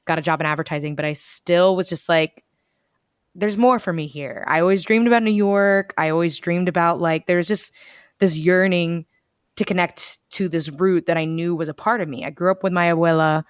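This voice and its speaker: A young woman from the United States, talking casually as an interview guest over a video call, telling stories with lively quoted asides. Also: fairly low pitch, normal speed, clear, resonant tone, no room sound, studio quality. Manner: chatty, animated storytelling with quoted asides. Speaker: bookstore events director, guest.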